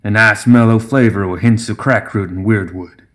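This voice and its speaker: An ancient gremlin brewmaster, acted out by a male narrator, gruff and proud. He talks slowly in a folksy dialect, like a backwoods moonshiner in a fantasy tale. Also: on the low side, fairly even intonation, a bit bright, lightly acted. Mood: folksy pride.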